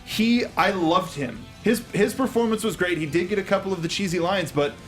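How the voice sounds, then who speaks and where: A man with a fairly high voice hosts a casual movie review panel. He talks in a halting, joking way, feeling his way through sentences, while music plays behind him. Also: fairly bright tone. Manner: halting, jokey. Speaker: host of a film review panel show. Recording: music playing behind the talk.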